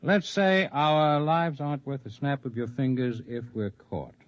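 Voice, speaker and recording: An actor playing a composed, articulate leader of an underground freedom movement in 1950s radio drama style, speaking in measured, formal, persuasive speeches. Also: male voice, medium pitch, no echo, close to an everyday voice. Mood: calm, explanatory. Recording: dull lo-fi vintage radio recording.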